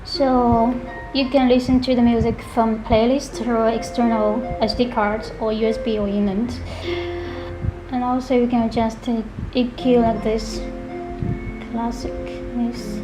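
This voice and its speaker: A woman giving an unscripted product demonstration, talking in a halting way and going through items one by one. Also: a little high, little pitch movement, music in the background, rough recording. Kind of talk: unscripted product demo walkthrough.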